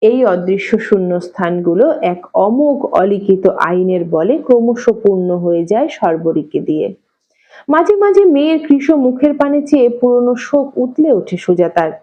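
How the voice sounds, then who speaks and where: A woman narrating a literary story with expressive reading, on a slightly muffled recording. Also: medium pitch, unhurried. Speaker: audiobook narrator.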